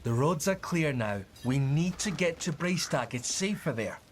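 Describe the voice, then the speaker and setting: An actor playing a polite, concerned family friend in a video game, gentle, reassuring and earnest. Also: male voice, a little high, animated, normal speed, a little hiss or hum, close to an everyday voice. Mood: pleading, concerned. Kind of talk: video game cutscene acting.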